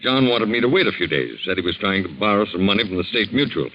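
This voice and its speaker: A man's voice: low-pitched, lively intonation, fast. An actor playing a brusque, self-justifying middle-aged businessman in a 1940s radio drama. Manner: brusque, indignant. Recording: muffled 1940s radio recording.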